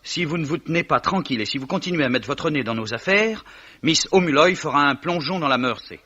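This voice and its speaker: Hapless young English gentleman, earnest, plaintive, tense bright voice, rough edge, vintage radio play, dull lo-fi recording. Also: fairly high pitch, lively intonation, brisk. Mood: flustered. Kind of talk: 1950s French radio drama acting.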